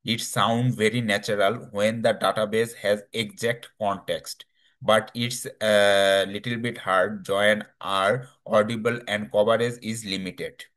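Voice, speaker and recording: A man giving a technical slide presentation in a halting, hesitant way, with non-native English phrasing. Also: fairly low pitch, flat delivery, a bit bright.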